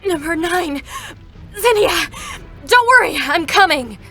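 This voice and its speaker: A woman's voice: very high voice, animated, slow, music in the background, full character voice. An actress playing an earnest, distraught young female combat android in a dramatic game-style stage reading. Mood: frantic.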